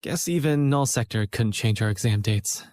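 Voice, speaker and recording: An actor playing an earnest, self-doubting young college student who narrates his own story in a scripted animated game film. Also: fairly low pitch, normal speed, no echo, studio quality, close to an everyday voice. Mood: reflective, downcast. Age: young adult. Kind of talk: scripted first-person narration.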